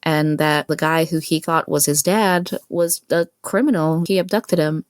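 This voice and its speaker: A woman's voice: medium pitch, creaky, dry recording, studio quality. A woman in her thirties from Greece chats casually and with enthusiasm about films as a podcast co-host, freely giving her opinions. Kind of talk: casual film discussion.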